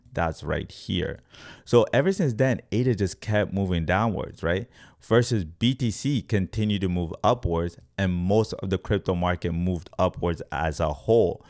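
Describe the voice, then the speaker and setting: Male host from Congo for explanatory chart commentary; deep, fry-edged voice, emphatic and repetitive delivery, often checking in with listeners. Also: a little mellow, dry recording, clean studio sound. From born in Congo, Africa. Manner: emphatic, repeats points, checks in with "right?". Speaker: host, crypto trading educator.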